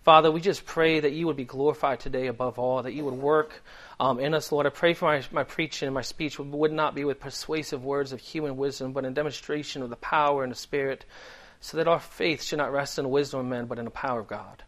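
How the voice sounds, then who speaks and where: A man's voice: medium pitch, fairly even intonation, fast, fairly bright tone. A man in his thirties from Delaware with a Boston accent, preaching a sermon in an earnest, conversational way with frequent ums. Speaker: guest preacher.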